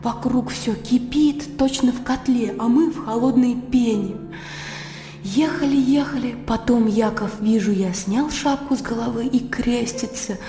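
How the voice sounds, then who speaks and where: A candid, lively young country lady in a radio drama. Her voice is high, and she talks vividly and artlessly, as if chatting. The sound is reverberant, with music playing behind her. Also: unhurried. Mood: vivid, earnest. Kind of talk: radio play acting, animated storytelling.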